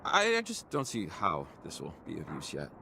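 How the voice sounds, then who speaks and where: An actor playing an easygoing, skeptical companion in a mystery adventure game, casual, hedging and wry. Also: male voice, deep voice, wildly swooping pitch, normal speed, gravelly, slight background noise, heavily acted. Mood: hesitant, skeptical. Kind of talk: naturalistic game voice acting. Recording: loud music under the voice.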